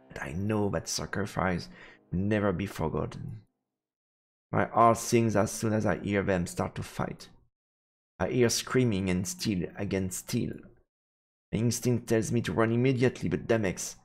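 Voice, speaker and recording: Low, creaky-voiced man narrating a story. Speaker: streamer reading a visual novel aloud. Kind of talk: dramatic narration of visual novel text.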